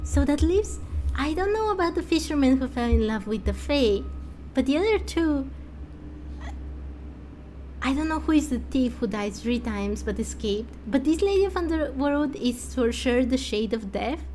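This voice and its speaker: A woman's voice: high voice, animated, moderate pace, dark tone, slight background noise, poor audio. A woman giving casual let's play commentary, thinking aloud and speculating as she goes.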